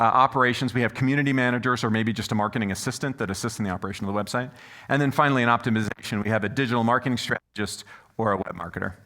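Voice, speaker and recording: A man giving a loud, animated conference presentation to an audience from a stage microphone. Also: medium pitch. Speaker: conference speaker. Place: conference room before an audience.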